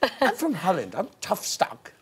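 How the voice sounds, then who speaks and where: A man putting on the voice of a Dutch woman, high and campy, with a put-on accent. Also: huge pitch swings, moderate pace, breathy edge, heavily acted.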